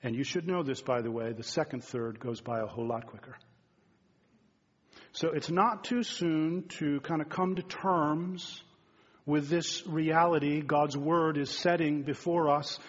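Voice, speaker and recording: A man in his fifties delivers a sermon from the pulpit of a church sanctuary, earnest and conversational as he speaks straight to the congregation. His voice sits a touch high and slightly husky. The recording sounds dull and muffled, with a long reverb trailing off the hall. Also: unhurried. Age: 50s. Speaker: pastor.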